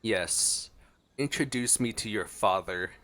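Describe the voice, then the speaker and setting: A man's voice: fairly low pitch, takes it slowly, fairly bright tone. A man giving casual gameplay commentary in a playful, gushing way. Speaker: streamer reacting to a game.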